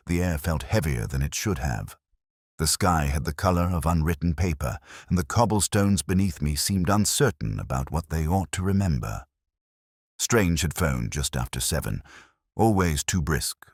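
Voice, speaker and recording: A man playing an ageing, weary police detective, narrating an audio drama in a measured, literary, wry and reflective way. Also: a bass voice, animated, no echo, studio quality, full character voice.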